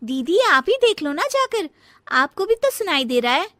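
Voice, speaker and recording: A woman plays a lazy young daughter-in-law from a cartoon family drama. Her voice is high and bright, recorded very dry. She is whiny and scheming, with a dramatic, defiant manner. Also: sing-song, moderate pace, no room sound, heavily acted. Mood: whiny, reluctant. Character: lazy, scheming, jealous young daughter-in-law who whines and fakes illness.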